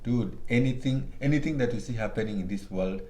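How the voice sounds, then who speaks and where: A man with a Kenyan accent, a guest speaking earnestly and emphatically about his own experiences, often halting and restarting mid-sentence. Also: medium pitch, mellow. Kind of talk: candid personal testimony in conversation. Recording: roomy echo with faint background noise.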